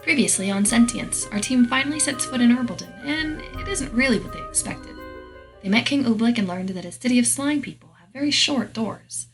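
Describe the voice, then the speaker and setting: A woman's voice: fairly high pitch, lively intonation, fairly quick, poor audio. A woman narrating a scripted story recap for a tabletop game show. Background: faint music.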